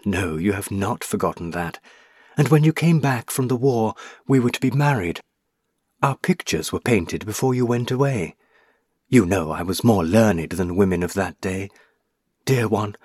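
A man's voice: medium pitch, breathy edge, no room sound, clean studio sound. A man voicing a tender, grieving woman ghost. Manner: pleading, tender, rising to anguish. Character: young woman ghost, a burned witch, loving and sorrowful. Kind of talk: audiobook reading.

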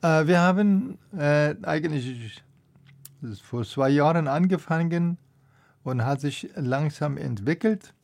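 Man, Persian accent, middle-aged, unhurried, halting speech, radio guest. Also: mid-range voice, creaky, a little mellow. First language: Persian (Dari). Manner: hesitant, searching for words, frequent ums. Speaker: doctor, interview guest. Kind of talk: radio interview answers.

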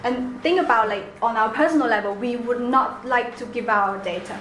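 A woman with a Chinese accent giving a seminar presentation, halting with many restarts and fillers. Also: a little high, a bit bright. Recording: echoey room, faint background noise.

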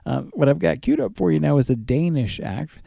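A man presents a music radio show in a casual, chatty style, his pitch moving freely up and down. The voice is dark and relaxed with a gravelly fry, picked up close on a dry microphone. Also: a little fast, no echo.

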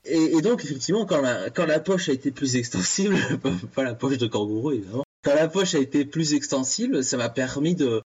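A man in his thirties from France, chatting casually on a comics podcast, halting and searching for his words. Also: mid-range voice, lively intonation, darkish tone, husky. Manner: hesitant, playful, searching for words. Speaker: podcast co-host. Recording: dull lo-fi sound.

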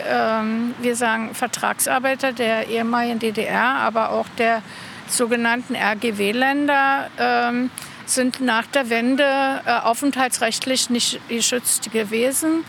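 A woman with a high voice answers interview questions as an organiser. She pauses often to find her words, with faint street and vehicle noise behind her. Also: vocal fry, fairly bright tone. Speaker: interviewee, bus tour organiser.

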